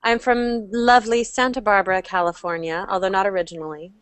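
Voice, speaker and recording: A woman from Oregon introducing herself as a guest in a casual, measured way. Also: mid-range voice, fairly even intonation, clean tone, no room sound.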